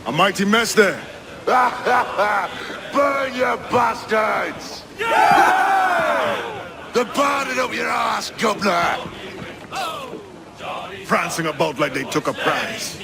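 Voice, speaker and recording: Shouting, high-pitched pirate sailor. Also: male voice, slow pace, vocal fry, pauses often, a loud crowd, exaggerated voice. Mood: gleeful. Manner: shouting, excitable. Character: spirited, urgent male pirate sailor shouting to his captain. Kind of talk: video game sea battle acting. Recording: loud music over ocean surf.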